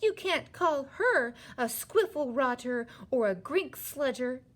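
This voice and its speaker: Woman as a high-pitched, feisty storybook girl. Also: sing-song, a little slow, slightly rough, exaggerated voice. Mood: indignant. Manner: emphatic, impassioned exclamations. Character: feisty, indignant, determined young girl. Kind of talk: children's storybook character reading.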